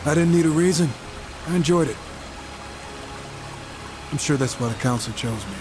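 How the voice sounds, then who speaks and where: A man's voice: fairly high pitch, animated, normal speed, natural voice. A man playing a cynical, wisecracking horseman and reformed killer in a video game, talking in a sardonic, informal way. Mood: brooding. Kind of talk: game cutscene dialogue. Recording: music behind the voice.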